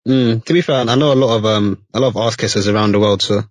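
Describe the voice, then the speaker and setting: A man's voice: fairly low pitch, fast, dry recording. Laid-back young male podcast host. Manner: laid-back, laughs often, slangy. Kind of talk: casual chat interview.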